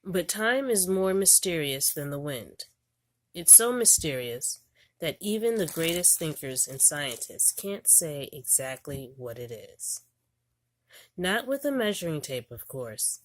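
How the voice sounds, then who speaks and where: A woman reading an educational children's book aloud in a deliberate, storybook manner. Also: low-pitched, no echo.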